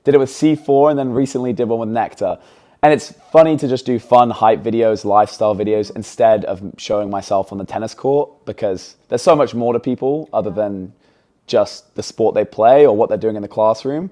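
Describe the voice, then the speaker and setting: Man, 20s, English-American mixed accent, low mellow voice, chatty, upbeat, casual interview guest. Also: brisk. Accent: mix of English and American. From England. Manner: chatty, filler-heavy, upbeat.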